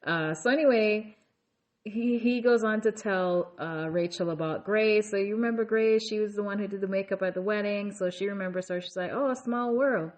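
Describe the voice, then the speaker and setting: A woman's voice: moderate pace, a little mellow. A woman hosting a casual reality TV recap, chatty and conversational, with many fillers and asides, raising her voice to act out quoted lines. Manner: acts out quoted lines in a raised pitch. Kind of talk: casual episode recap commentary.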